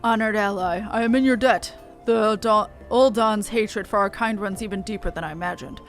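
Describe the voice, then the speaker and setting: A woman plays a stern, honor-bound beastman elder of a fantasy game. The speech is formal, archaic and measured, weighed with solemn duty. Music plays behind the voice. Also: mid-range voice, close to an everyday voice. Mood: grave. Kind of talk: reading game dialogue aloud.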